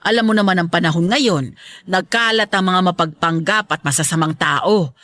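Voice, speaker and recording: A woman's voice: lowish voice, moderate pace, fairly bright tone, no echo, studio quality, close to an everyday voice. A woman playing an aging, protective and stubborn but loving Filipino mother in a radio drama, chatty and firm in a motherly way. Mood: firm, wary.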